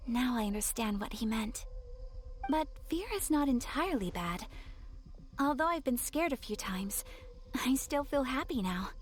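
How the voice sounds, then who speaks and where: An actress voices a gentle, earnest young-sounding lady in a game cutscene. She speaks politely and formally in a fairly high voice, with soft music behind her. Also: animated, normal speed, natural voice. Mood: calm, reassured. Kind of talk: video game cutscene acting.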